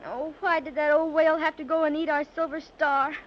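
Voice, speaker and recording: An earnest, worried little girl acted by a woman in a children's radio serial. Her voice is extremely high and plaintive. The 1930s recording is dull, with a little background noise. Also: little pitch movement, fairly quick, close to an everyday voice.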